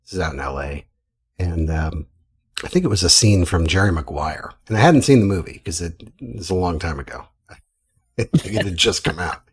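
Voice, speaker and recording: An American man with a low, husky voice hosts a podcast. He talks in a casual, conversational way, putting questions and thoughts to a guest. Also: animated, normal speed. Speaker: podcast host.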